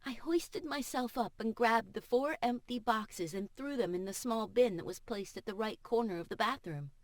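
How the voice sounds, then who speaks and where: A woman audiobook narrator reading first-person fiction in an expressive, emotive and deliberate way. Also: a little high, dry recording, studio quality. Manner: emotive, measured, deliberate delivery. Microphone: studio voice-over microphone.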